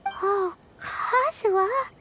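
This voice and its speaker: A woman voicing a small, childlike companion creature in a video game, earnest and chatty with a squeaky tone. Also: animated, drawn-out words, background noise, heavily acted. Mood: touched. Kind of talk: video game character acting. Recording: background music under the voice.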